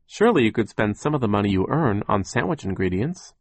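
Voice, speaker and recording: A man's voice: lowish voice, very animated, fast, dry recording. A man voicing an earnest, dismayed young girl in a children's audiobook.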